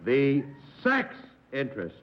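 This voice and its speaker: A man on a television quiz panel, joking deadpan and asking questions with sardonic wit. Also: medium pitch, takes it slowly, slight background noise. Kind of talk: dry comic banter and questioning. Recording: dull 1960s television broadcast audio.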